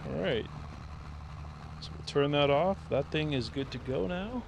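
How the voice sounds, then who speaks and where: A man streaming a farming game, thinking aloud in a relaxed, rambling way as he plays. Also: on the high side, unhurried, creaky, noisy background. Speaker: gaming streamer. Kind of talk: casual gameplay commentary.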